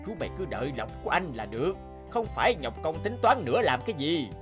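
A swaggering, animated gambler. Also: male voice, a little high, fast, music in the background. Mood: bragging. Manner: swaggering, scornful. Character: cocky boastful young male gambler, older brother. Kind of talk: animated moral tale dialogue.

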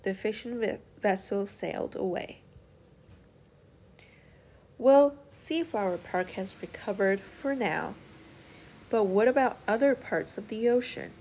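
A woman's voice: mid-range voice, animated, slow pace, long pauses, darkish tone, a little hiss or hum. A woman storyteller reading a children's picture book aloud as scripted narration.

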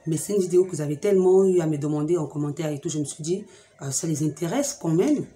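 A young woman with a cold hosting a chatty beauty vlog, talking straight to her viewers in a lively, teasing way, at home. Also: deep voice, fast, reverberant. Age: young adult. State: has a cold. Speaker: beauty vlogger. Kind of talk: chatty direct-to-camera vlog. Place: room at home with a fridge and sofa.

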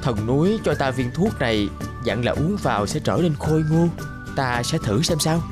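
A man's voice: mid-range voice, lively intonation, moderate pace, close to an everyday voice. A man voicing a humble young orphan in a fairy-tale cartoon, earnest and respectful. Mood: hopeful. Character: polite, eager, humble young male orphan. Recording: music behind the voice.

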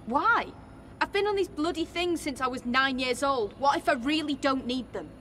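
A woman's voice: high-pitched, expressive, moderate pace, pushed and bright, a little hiss or hum. An actress playing Jenny, a defiant, volatile young woman who swears bitterly. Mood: resentful. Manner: bitter, swearing, turning furious.

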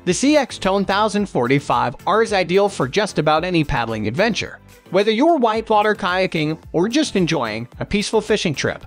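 A man with a high-set voice delivers a scripted product review voice-over. His intonation moves in animated rises and falls as he reads through features in a promotional, list-style way. Faint music plays behind him. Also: brisk.

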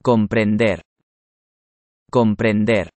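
Slow, measured man reading words. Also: fairly low pitch, steady tone, a little mellow, no room sound. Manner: measured, reads each word twice. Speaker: Spanish vocabulary reader. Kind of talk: slow, repeated word-list reading.

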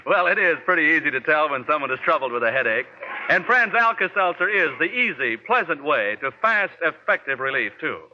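Male 1940s commercial announcer, animated pitch swings, dull vintage radio sound. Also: fairly quick, a little hiss or hum. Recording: muffled vintage radio recording.

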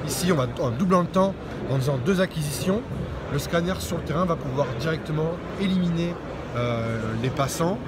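Loud exhibition hall noise surrounds a fairly high-voiced man giving a product demonstration. He explains in an unscripted way, hesitating and often rephrasing as he goes. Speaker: product presenter at a trade show stand. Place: busy exhibition hall, indoors. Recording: loud trade-show hall noise behind. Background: loud ambient hall noise.

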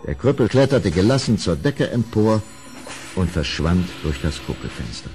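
Deep-voiced, dramatic male storyteller. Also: very animated, moderate pace, over music. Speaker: narrator. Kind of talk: dramatic scripted storytelling for a radio play. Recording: old audio drama recording, background noise.

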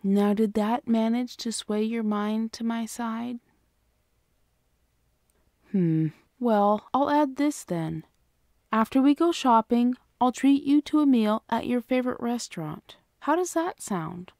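A woman playing an affectionate, protective, gently bossy girlfriend in an ASMR roleplay, speaking tenderly and coaxingly. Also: fairly high pitch, animated, slow, dry recording, studio quality.

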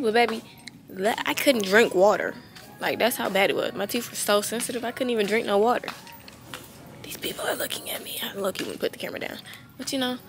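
A woman's voice: a little high, vocal fry. A teenage girl, vlogging in a casual, rambling way, with a stuffy, congested nose. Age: teens. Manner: chatty and candid, rambling asides. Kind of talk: casual vlog chat.

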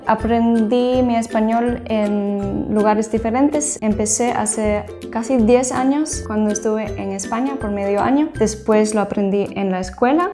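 A young woman from Germany speaking with a German accent in a casual vlog, giggly as she sounds out long words. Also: medium pitch. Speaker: co-host. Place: living room, on a sofa.

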